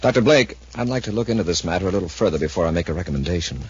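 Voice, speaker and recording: An actor playing an authoritative, probing space commander in a 1950s radio drama. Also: male voice, low-pitched, animated, very fast, breathy edge, exaggerated voice. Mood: probing, measured. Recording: dull 1950s radio recording.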